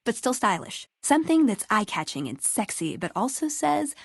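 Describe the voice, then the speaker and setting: A woman's voice: on the high side, very animated, normal speed, vocal fry, breathy edge, no room sound, clean studio sound, full character voice. An American woman playing a wry, earnest young scribe in a video game, speaking in a conversational way. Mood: wistful, playful. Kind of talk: video game character dialogue.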